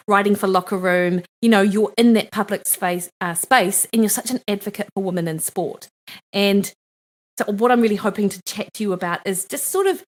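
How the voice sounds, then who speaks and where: A middle-aged woman hosting a podcast, chatty and enthusiastic in a casual interview. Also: medium pitch. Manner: chatty and enthusiastic, quick asides. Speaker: podcast host.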